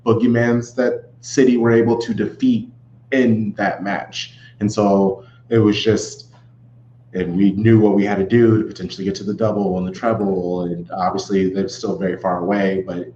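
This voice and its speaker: A man chatting casually as a sports fan podcast guest, often halting and rephrasing himself. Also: on the low side, darkish tone. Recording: roomy, dull audio with clear hum.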